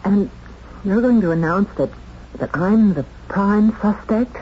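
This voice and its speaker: A woman's voice: medium pitch, unhurried, lightly acted. A woman acting an anxious but proud murder suspect in a 1970s radio drama, dramatic and earnest, with pointed questions. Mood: upset. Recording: dull, noisy 1970s radio drama recording.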